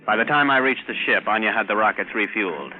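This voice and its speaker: An actor as a low-voiced space captain, vintage radio. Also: male voice, animated, fast, phone-quality sound, natural voice. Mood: narrating. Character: plucky male space captain narrating his adventure, wry and easygoing. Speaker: actor playing the lead and narrator. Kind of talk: 1950s radio acting. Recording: band-limited vintage radio recording with music.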